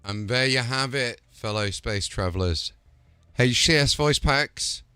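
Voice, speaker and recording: A man's voice: medium pitch, measured pace, bright, forceful tone, smooth, no room sound. A man hosting a gaming channel, chatting casually and enthusiastically about game add-ons before a promotional wrap-up. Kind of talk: casual showcase chat and promo.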